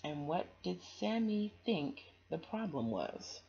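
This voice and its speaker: A woman's voice: on the low side, big pitch swings, takes it slowly, vocal fry, warm tone, lo-fi sound. A woman doing a children's storytime, reading and chatting to listeners in an encouraging, affectionate way.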